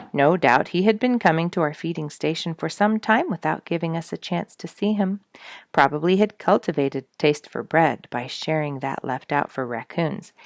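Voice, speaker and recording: Female storyteller for audiobook narration, low register, expressive and deliberate phrasing, close dry sound. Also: no echo. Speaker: storyteller reading an audiobook chapter.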